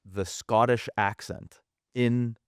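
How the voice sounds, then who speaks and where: A man's voice: mid-range voice, little pitch movement, slow, clear, resonant tone, no room sound, clean studio sound. A man from Quebec co-hosting a podcast, chatting casually and animatedly, switching between English and French. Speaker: podcast co-host. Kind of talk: casual bilingual chat.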